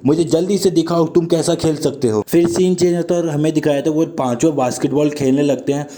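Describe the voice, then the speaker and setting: A man's voice: a little high, fairly even intonation, brisk, dark tone, a little hiss or hum. A young man with a sore throat, casually recapping an anime and reading the characters' lines in his plain voice. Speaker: anime explainer narrator.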